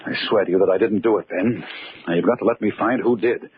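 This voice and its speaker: An actor playing an accused amateur magician, theatrical, sardonic and urgent, in a 1940s radio mystery. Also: male voice, on the low side, fast, slightly rough. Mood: defensive, urgent. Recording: tinny, noisy old radio recording.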